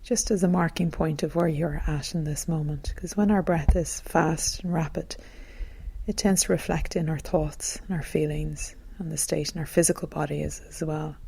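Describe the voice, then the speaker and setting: Low, creaky, soft-voiced woman guiding meditation. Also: slight background noise. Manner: gentle, calm, soothing, unhurried phrasing. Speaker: meditation teacher. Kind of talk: guided meditation narration.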